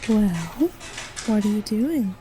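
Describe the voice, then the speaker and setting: Woman doing a flirty shop lady, slow suggestive drawl-out delivery, audio drama, soft music under it. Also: medium pitch, animated. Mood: teasing. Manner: teasing, suggestive, drawn-out delivery. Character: flirty female general store keeper full of innuendo.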